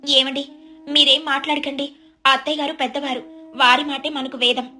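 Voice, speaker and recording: Woman playing a high-voiced meek young daughter-in-law. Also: level tone, normal speed, sharp tone, soft background music. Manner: deferential, pleading. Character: meek, dutiful young daughter-in-law, soft-spoken and anxious.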